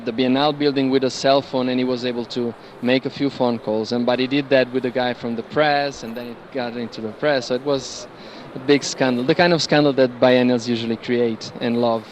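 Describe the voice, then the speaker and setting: A man's voice: mid-range voice, steady tone, fairly quick, few pauses. A man talks informally as a guide explaining artworks. He searches for his words as he goes. Busy ambient noise of a fair hall sits behind him. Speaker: curator guiding an art fair tour. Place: large indoor art fair hall.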